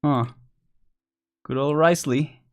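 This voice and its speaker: A man chatting casually and rambling as he thinks out loud on a live game stream. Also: on the high side, slow pace, faint music behind.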